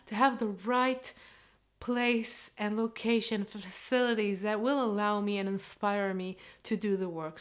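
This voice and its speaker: Israeli woman teacher talking to camera. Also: medium pitch. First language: Hebrew. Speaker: Hebrew teacher. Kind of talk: casual vlog talk to camera. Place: living room set.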